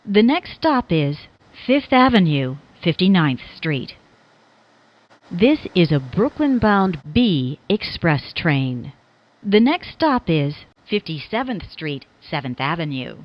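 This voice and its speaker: A woman's voice: on the low side, big pitch swings, takes it slowly, no room sound, highs cut off. A woman reading scripted transit announcements as a train announcer, in formulaic, carefully enunciated phrases that sound spliced together.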